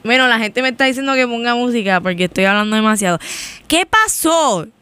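A woman's voice: fairly high pitch, expressive, fairly quick, pushed and bright, clean tone, no room sound. Chatty Puerto Rican woman on the radio. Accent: Puerto Rican Spanish. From Puerto Rico. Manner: chatty, rambling, switches between Spanish and English mid-sentence. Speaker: college radio host. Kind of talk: casual on-air chat.

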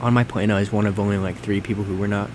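A young man with a fairly low voice chats casually and leads the conversation. He talks in an informal, conversational way. A faint hum of noise sits behind him. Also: little pitch movement, rapid-fire. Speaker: conversation leader asking questions. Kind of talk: casual chat. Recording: noisy room with air-conditioning hum.

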